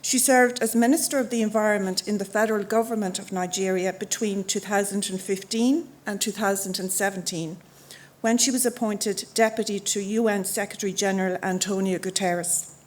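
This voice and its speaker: A woman reading a formal scripted citation in a measured, ceremonial way at a conferring. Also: medium pitch, steady tone. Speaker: council member reading an honorary citation. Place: auditorium, before an audience.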